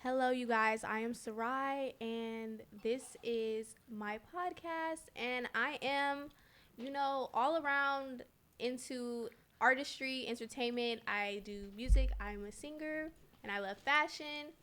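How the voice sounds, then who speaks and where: Bubbly young woman, bright pitch. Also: slow, clean tone. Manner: bubbly, excited. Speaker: podcast host. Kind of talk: casual chat.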